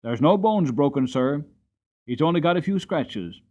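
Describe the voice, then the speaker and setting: A man's voice: on the high side, animated, normal speed, a little mellow, muffled recording, close to an everyday voice. A man voicing a plain-spoken, horse-loving groom with a folksy, frank manner in a storybook reading. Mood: frank indignation.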